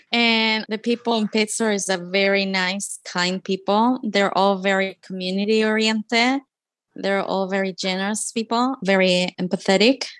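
A woman's voice: mid-range voice, a bit bright, dry recording. A woman from Chile with a Chilean Spanish accent, speaking English as a second language and telling her own immigration story in an earnest, heartfelt, conversational way. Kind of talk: personal storytelling monologue.

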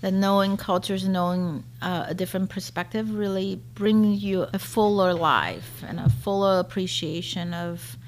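A middle-aged woman with a Taiwanese accent, telling personal stories in simple, short, clearly phrased sentences. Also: mid-range voice, moderate pace, few pauses, faint music behind. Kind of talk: personal storytelling.

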